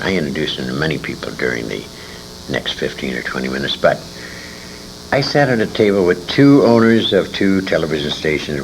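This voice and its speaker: An older man with an extremely low, gravelly voice tells stories in an interview. His delivery rambles and halts while his pitch moves around freely. The amateur 1980s recording has clear background noise. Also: low-quality recording. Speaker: interviewee, radio veteran. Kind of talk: reminiscing interview storytelling.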